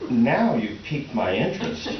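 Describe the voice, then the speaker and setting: A man's voice: medium pitch, very animated, normal speed, close to an everyday voice. A man playing a polite, baffled middle-aged husband in a comic play reading, hesitant, earnest and awkwardly placating. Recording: echoey, distant, muffled noisy live recording.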